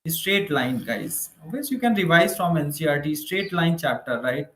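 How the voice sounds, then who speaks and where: Male maths teacher, Indian accent, slightly high voice, informal explanatory delivery with frequent check-in tags, noticeably echoey room. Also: lively intonation, normal speed, fairly bright tone. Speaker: maths teacher for exam preparation. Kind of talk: informal online lecture, working through problems. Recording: roomy, echoing room sound.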